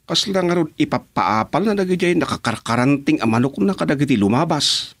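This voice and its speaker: A man's voice: on the high side, animated, a little fast, dry recording. A man with an Ilocano Filipino accent playing a chatty, agreeable villager in a radio comedy drama. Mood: gossiping.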